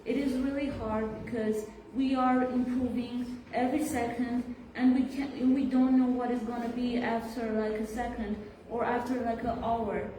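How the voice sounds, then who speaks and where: A teenage girl student giving her view in a classroom discussion, speaking haltingly with many fillers. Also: fairly high pitch, flat delivery, dark tone. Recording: echoey room from far off, clear background noise.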